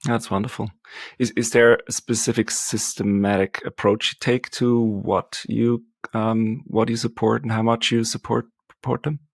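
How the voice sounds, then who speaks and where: A man hosting an interview in a conversational, halting way, feeling his way through his questions. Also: lowish voice, little pitch movement, gravelly, dry recording. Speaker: podcast host, interviewer.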